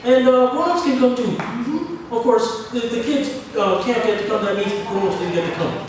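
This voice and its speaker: A man voicing a friendly, hesitant frog puppet who chats in a halting, muddled way for a children's show. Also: very high-pitched, a little hiss or hum. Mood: friendly, flustered. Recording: very echoey, distant mic, faint music.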